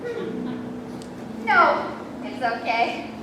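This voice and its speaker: A teenage young woman acting a self-important, greedy food taster in a stage play, reciting rhymes in an exaggerated, deliberate singsong. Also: sits high, sing-song, noisy background, heavily acted. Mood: suspicious, sly. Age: teens. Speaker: student stage actor. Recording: echoey hall, distant mic, loud music.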